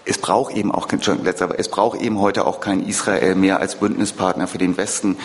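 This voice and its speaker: A man giving an informal political talk in a halting way, thinking aloud as he goes. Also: deep voice, steady tone. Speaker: speaker at a public lecture. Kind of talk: informal spoken political lecture answer. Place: reverberant lecture room or hall. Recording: echoey hall recording of live talk.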